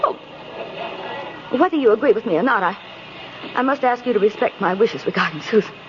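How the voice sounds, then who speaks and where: An actress playing a brisk, no-nonsense mother with a firm, formal manner in a 1950s radio drama. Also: mid-range voice, lively intonation, close to an everyday voice. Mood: firm, earnest. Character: brisk, no-nonsense working mother who scorns fairy tales. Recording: muffled noisy old radio recording.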